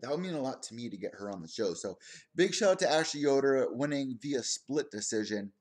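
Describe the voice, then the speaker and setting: Rambling, excitable young male podcast host. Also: medium pitch, a little fast. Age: 20s. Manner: rambling, excitable, chatty with frequent asides. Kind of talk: casual solo stream commentary.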